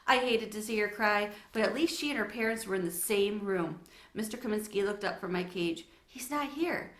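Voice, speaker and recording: A woman narrating a children's story aloud in an expressive read-aloud style. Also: mid-range voice, fairly quick, roomy sound.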